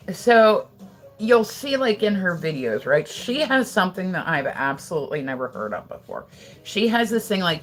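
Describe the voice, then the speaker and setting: An American woman livestreams from her house, chatting loosely with her viewers in a gossipy, profane way. Her voice sits a little low for a woman, and her talk is full of "like" and asides. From United States. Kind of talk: casual livestream chat, reading and answering viewers.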